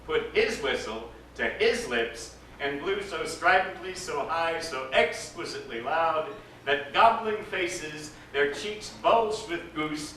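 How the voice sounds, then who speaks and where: A man giving a dramatic live reading of a story, expressive and theatrical, lingering over his words. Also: a little high. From United States. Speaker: actor reading a story aloud. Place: event at a ranch, roomy space. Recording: echoey distant live recording with background noise.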